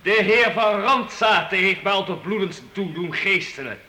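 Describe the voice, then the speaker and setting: High, defiant medieval boy. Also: animated, moderate pace, pushed and bright, slight background noise, heavily acted. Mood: stubborn, earnest. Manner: earnest, defiant, respectful. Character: brave, stubborn free-born medieval Frisian peasant boy. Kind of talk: radio drama acting. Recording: echoey hall, radio play.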